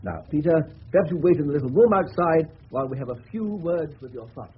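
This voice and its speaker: An actor playing a courteous but probing, eccentric English police colonel with a clipped manner, in a 1970s radio drama. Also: male voice, on the high side, lively intonation, quick pace, slightly rough. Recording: muffled, noisy old radio broadcast.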